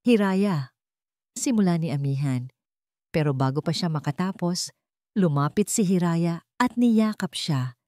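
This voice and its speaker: A woman narrates a dramatic story from a script. Her voice sits fairly low, and the recording is dry, with little room sound. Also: moderate pace, warm tone, no echo.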